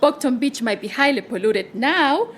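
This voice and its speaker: A woman's voice: high voice, lively intonation, moderate pace, a faint crowd. A woman playing an upbeat schoolteacher leading a field trip, encouraging and instructing. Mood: cheery, encouraging.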